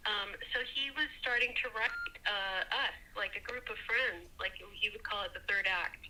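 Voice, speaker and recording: A woman's voice: mid-range voice, normal speed, pushed and bright, a little hiss or hum, rough recording. A woman telling a personal story casually as a caller, rambling with emphatic repetition and casual swearing. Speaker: caller seeking tech help.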